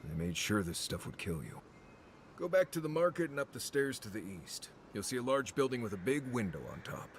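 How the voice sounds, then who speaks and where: An actor voicing a serious companion character in a fantasy game, speaking steadily and matter-of-factly. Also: male voice, mid-range voice, big pitch swings, a little fast, a little hiss or hum, lightly acted. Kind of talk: game dialogue.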